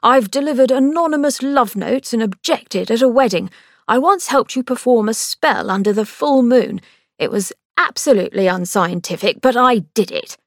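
Woman doing smug young male doctor, teasing, slightly high, dry close mic. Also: expressive, a little slow, no echo, heavily acted. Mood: exasperated. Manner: teasing, exasperated.

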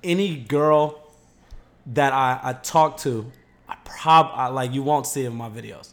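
A man's voice: medium pitch, unhurried. A young man talking as a podcast guest in a laid-back, slangy way, swearing freely. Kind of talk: casual chat.

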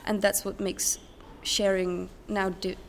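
A woman's voice: medium pitch, flat delivery, slow pace. A young woman answering interview questions in English in a hesitant, thoughtful, conversational way. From Czech Republic. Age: young adult. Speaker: interview guest, recent graduate. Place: conference center. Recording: background noise at conference venue. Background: ambient noise, distant sound check.

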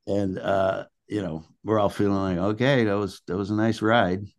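A man's voice: on the low side, level tone, normal speed. A man speaking as an interview guest, telling anecdotes in a casual, rambling way.

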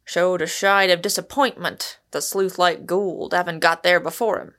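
A woman's voice: lowish voice, fairly even intonation, moderate pace, a bit bright, lightly acted. A woman voicing a cheeky, jovial working-class Cockney man in a storybook, breezy, blunt and slangy. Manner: breezy, slangy, cheerfully mocking.

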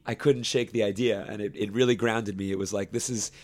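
A young man who directs a camp choir, explaining his work at length in a relaxed interview, with fillers and restarts. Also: lowish voice, brisk. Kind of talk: conversational interview.

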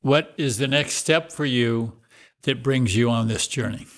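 A man hosts a podcast interview in a measured, guiding way. His voice sits in the middle range for a man. He moves the conversation along with open questions. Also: medium pitch, fairly bright tone. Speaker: podcast host.

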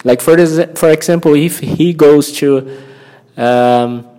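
A man from Brazil with a Brazilian Portuguese accent, hosting an informal English-learning lesson, haltingly searching for words and repeating himself. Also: on the high side, dark tone, low-quality recording. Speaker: language-learning podcast host.

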